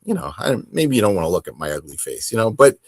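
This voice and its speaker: A man hosting a hobby podcast, talking casually on his own in a rambling, halting and self-deprecating way. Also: lowish voice, big pitch swings, dry recording, studio quality. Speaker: host of a tabletop wargaming podcast. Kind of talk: casual solo chat to camera.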